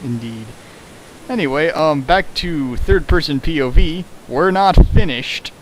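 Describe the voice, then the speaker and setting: A man's voice: fairly high pitch, a little slow, poor audio. A man doing a mocking dramatic reading of fan fiction with sarcastic, hammy asides, recorded at home with hiss.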